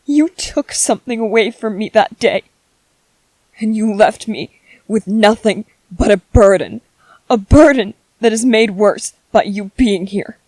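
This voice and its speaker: A woman voicing a grieving young widow and mother in a dramatic monologue, slow and heavy with sorrow. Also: high voice, lively intonation, a little mellow, dry recording. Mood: angry. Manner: slow, heavy with grief, at times tearful.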